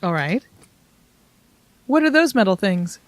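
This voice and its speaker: Woman playing a lively-toned, questioning companion. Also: mid-range voice, unhurried, dry recording. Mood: puzzled. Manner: plain, inquiring, to the point. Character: level-headed, questioning female companion to a time traveller. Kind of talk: audio drama acting.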